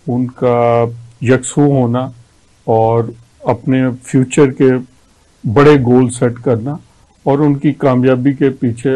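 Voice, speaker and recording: Slow-paced middle-aged Pakistani man. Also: medium pitch, dark tone, poor audio. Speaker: talk show host. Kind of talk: TV discussion. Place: indoor TV studio. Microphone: lapel mic.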